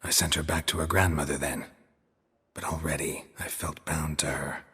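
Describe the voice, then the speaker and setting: A stoic game monster hunter with an extremely low, heavily rasping voice. He is weary and guarded, speaking in short clipped sentences with little display of feeling. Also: little pitch movement, moderate pace, sharp tone, full character voice. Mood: somber, reflective. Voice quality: gravelly, husky. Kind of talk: restrained video game dialogue.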